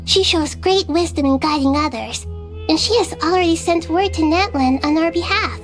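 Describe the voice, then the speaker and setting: A woman's voice: very high-pitched, normal speed, soft background music. A woman voicing a small, perky, childlike fairy companion in a video game. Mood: cheerful.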